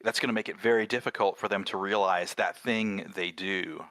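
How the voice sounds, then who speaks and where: Bright-voiced man chatting enthusiastically. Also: deep voice, fairly even intonation, moderate pace, no room sound. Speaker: game creator. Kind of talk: casual livestream chat about creative work.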